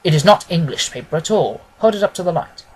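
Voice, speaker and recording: A man voicing a self-assured male detective in an audiobook, keenly observant and gently mocking, with an emphatic, didactic manner. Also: a little high, expressive, fast, slightly rough, rough recording. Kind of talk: dramatised audiobook reading.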